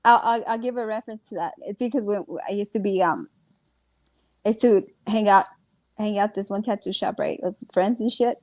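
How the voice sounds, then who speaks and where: A woman's voice: mid-range voice, brisk, a little mellow, no echo. A woman co-hosting a casual stream chat, laughing often and swearing freely. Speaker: stream co-host.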